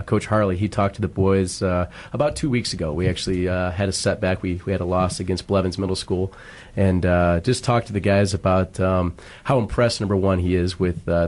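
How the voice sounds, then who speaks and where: Low-voiced American man, a football coach. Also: a little mellow. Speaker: school football coach being interviewed. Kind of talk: conversational interview answers. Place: radio studio.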